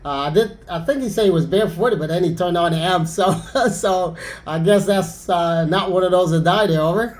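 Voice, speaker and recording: A man's voice: sits high, brisk. A man chatting casually and informally as an amateur radio operator, in a friendly way. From Anguilla, Caribbean. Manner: friendly, informal, says "man" and "over" often. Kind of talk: casual ham radio rag-chew.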